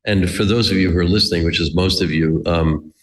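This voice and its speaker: Male host, low voice, stumbly with lots of restarts, long reverb on the mic. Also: fairly even intonation. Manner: halting, many restarts and repeated words. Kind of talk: casual interview hosting.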